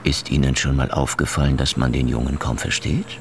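An actor playing an officious, controlling store manager who talks in a clipped, patronizing way in a radio drama. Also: male voice, very deep voice, level tone, fairly quick, creaky, background noise. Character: officious male store manager, middle-aged, petty. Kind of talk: German radio drama acting.

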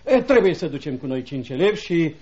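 An actor in a radio play voicing a coarse, self-important schoolmaster with a pompous, domineering manner. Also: male voice, on the high side, sing-song, darkish tone. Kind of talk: radio drama acting. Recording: dull, muffled radio recording.

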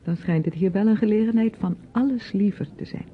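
A young actress playing a meek, literal-minded niece in a radio play, subdued and hesitant, with a husky, breathy voice. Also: female voice, medium pitch, fairly quick, lightly acted. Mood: hesitant, dry. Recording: muffled old radio recording.

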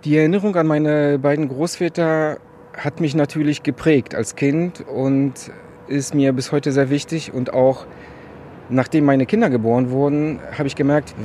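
A man's voice: mid-range voice, dark tone, slight background noise. A man, speaking earnestly and with measure in a radio interview. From Poland. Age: 40s.

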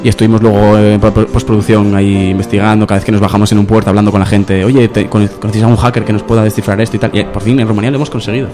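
A man's voice: on the low side, flat delivery, rapid-fire, hardly any pauses, over music. A man co-hosting a radio show in casual banter, often repeating his phrases for emphasis.

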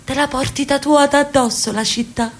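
A woman playing an aging sister from Naples, fond and stubborn, coaxing her brother in a radio play. Her voice sits fairly high for a woman, with a lilt and warm insistence. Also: steady tone, moderate pace, natural voice. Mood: fond, insistent. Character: elderly Neapolitan sister, insistent and affectionate. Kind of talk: radio drama acting.

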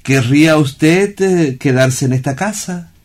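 A man's voice: a little high, a little mellow. A man playing a kindly, paternal sanatorium director in an audio drama, speaking formally and courteously to reassure. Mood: reassuring. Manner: formal, courteous, measured phrasing. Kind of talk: theatrical audio drama acting.

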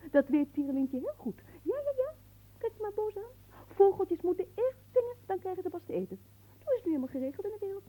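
An actress playing a vain, capricious marquise in a radio play, with an affected, cooing and haughty manner. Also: female voice, extremely high pitch, very animated, fast talker, mellow, poor audio. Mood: teasing, bossy. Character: vain, capricious marquise doting on her caged songbird. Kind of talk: theatrical radio play acting.

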